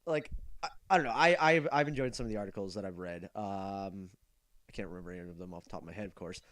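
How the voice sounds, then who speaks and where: A man's voice: low voice, quick pace, fairly bright tone. A young man co-hosting a casual podcast, joking and teasing his friend, often trailing off and restarting. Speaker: podcast co-host. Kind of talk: casual rambling chat.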